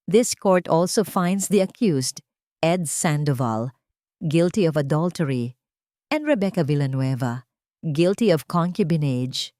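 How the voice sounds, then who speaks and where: Woman as a stern judge, slow and formal. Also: sits low, lively intonation, warm tone, no room sound, studio quality. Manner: formal, measured, deliberate. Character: stern, emotionless judge reading out a verdict.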